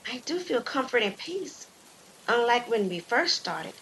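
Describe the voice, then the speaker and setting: A woman voicing a soft-spoken, faithful, anxious young woman hiker in an audio story. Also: a little high, very animated, unhurried, a little hiss or hum, exaggerated voice, low-quality recording. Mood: soft, worried. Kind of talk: audio story character dialogue.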